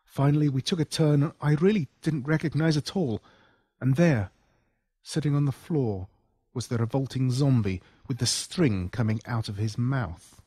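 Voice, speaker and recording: A man's voice: medium pitch, moderate pace, warm tone, breathy edge, dry recording, clean studio sound. A man with an Australian accent reading a scripted first-person horror story in a dramatic, suspenseful way. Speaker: audiobook narrator.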